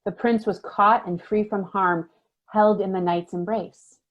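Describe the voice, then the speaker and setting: A woman narrates a storybook in lilting verse at a middle pitch. The recording sounds a little muffled and lo-fi. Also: fairly even intonation, unhurried.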